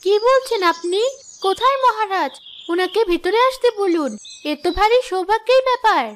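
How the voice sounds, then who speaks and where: A woman's voice: very high-pitched, expressive, moderate pace, fairly bright tone. A woman voicing a humble, anxious young village cook in a fairy-tale cartoon, earnest and polite.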